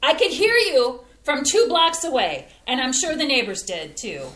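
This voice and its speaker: An exasperated middle-aged mother scolding her family, read by a woman. Her voice sits high and bright and jumps all over the place. The sound rings around a roomy stage. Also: huge pitch swings, normal speed, exaggerated voice. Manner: emphatic, scolding. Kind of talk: amateur staged play reading. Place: theater stage.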